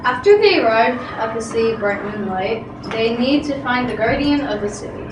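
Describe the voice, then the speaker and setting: A female amateur narrator reads a stage story in a high voice. She is far from the microphone in an echoey room with loud noise. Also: expressive, normal speed. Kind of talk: amateur readers theatre narration.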